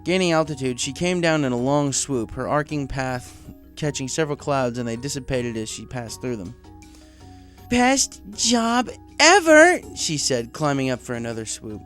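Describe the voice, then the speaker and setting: A man's voice: mid-range voice, fairly even intonation, brisk, hardly any pauses, faint music behind. A man reading a story aloud as a scripted narrator. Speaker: story reader.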